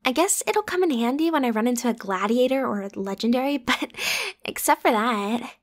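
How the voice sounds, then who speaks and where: Woman playing popular school girl for asmr roleplay, really high voice, bratty n teasing, casual slangy giggly talk. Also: expressive, a little fast. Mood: chatty, complaining. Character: popular teenage-student girl, teasing, bratty, playful roommate. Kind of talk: ASMR roleplay acting, one side of a conversation.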